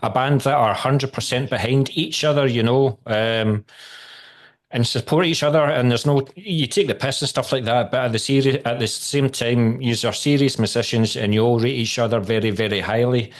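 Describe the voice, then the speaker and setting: A man's voice: mid-range voice, steady tone, normal speed. Man asking rambling questions. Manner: rambling, friendly questions with many ums. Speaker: interviewer. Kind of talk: casual interview questions.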